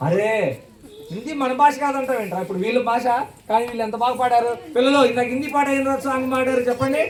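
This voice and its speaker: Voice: mid-range voice, quick pace. A puppet show host bantering live with puppets and children, teasing, questioning and repeating phrases. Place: church hall with children audience. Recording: distant, echoey hall, crowd noise.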